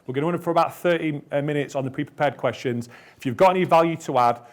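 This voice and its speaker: A man's voice: a little high, quick pace. A man moderating a conference panel, addressing the audience directly in an upbeat way.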